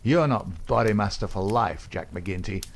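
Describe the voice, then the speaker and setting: A man's voice: lowish voice, animated, measured pace. Man voicing a furious, jealous young thug. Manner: furious, snarling. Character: jealous, hot-tempered young male thug.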